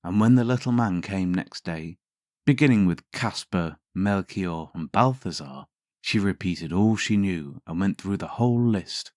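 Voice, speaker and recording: A man's voice: low-pitched, animated, unhurried, a little mellow, dry recording, studio quality. A man narrating as a storyteller and host, theatrical and wry in his delivery. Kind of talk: dramatic fairytale narration with sardonic commentary.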